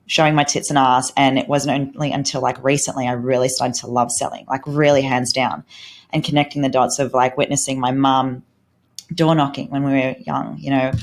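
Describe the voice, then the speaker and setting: Female podcast host from Germany, candid and profane, conversational. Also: a bass voice. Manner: candid and swears freely.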